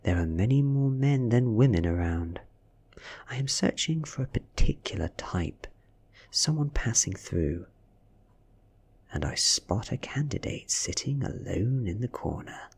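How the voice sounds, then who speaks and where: A man with a British accent giving a breathy, hushed ASMR reading of a story, slow and deliberate. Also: mid-range voice, animated. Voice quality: breathy, whispery. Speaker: audiobook reader.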